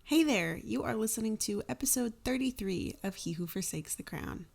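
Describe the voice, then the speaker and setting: A woman's voice: a little high, animated, moderate pace, creaky, darkish tone, husky. A woman speaking as a podcast host, chatting casually and informally to listeners. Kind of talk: casual chatty episode introduction.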